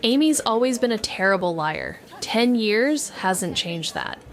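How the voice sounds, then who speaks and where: A woman's voice: mid-range voice, sing-song, measured pace. A young woman playing a cautious, anxious former child detective who narrates a noir audio drama. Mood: tense, brooding. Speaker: narrator and lead actor.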